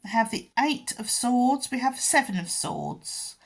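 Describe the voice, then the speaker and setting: A woman giving a pick-a-card tarot reading in a gentle, halting way, full of ums and restarts. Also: fairly high pitch, lively intonation, gravelly. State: croaky voice and yawning. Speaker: tarot reader.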